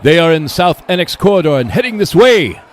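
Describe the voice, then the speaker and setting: A man's voice: fairly high pitch, big pitch swings, a little fast, slight background noise. Man acting as an urgent, swooping battle lookout. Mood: tense. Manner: urgent, dramatic. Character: tense male human defender shouting urgent battle reports. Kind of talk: radio play acting.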